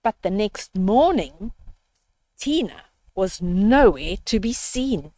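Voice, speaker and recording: Slow, expressive older woman reading a storybook. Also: medium pitch, no echo. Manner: expressive, addresses young listeners with questions. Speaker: children's storybook reader. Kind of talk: read-aloud storytime with explanatory asides.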